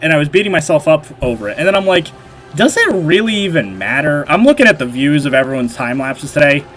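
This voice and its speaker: A man's voice: on the high side, expressive, fast, fairly bright tone. Nasal man, rambling stream chat. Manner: rambling, filler-heavy, frequent restarts. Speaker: livestream host. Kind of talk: casual livestream chat while modding.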